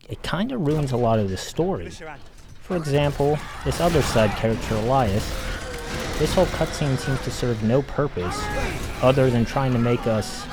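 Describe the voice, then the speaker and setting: A man giving a casual, blunt and sardonic video essay critique as a game reviewer, with some swearing. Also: fairly low pitch.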